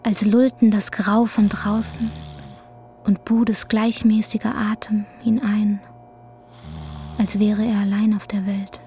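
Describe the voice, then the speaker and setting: Female narrator for literary radio drama: flat, restrained intonation, soft dark timbre, faint noise. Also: medium pitch, slow pace.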